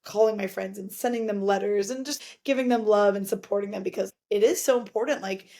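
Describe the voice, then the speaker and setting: A woman's voice: mid-range voice, brisk, dark tone. A young adult woman chatting casually as a podcast guest, earnest and rambling, with slang and lots of "like". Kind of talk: casual chat.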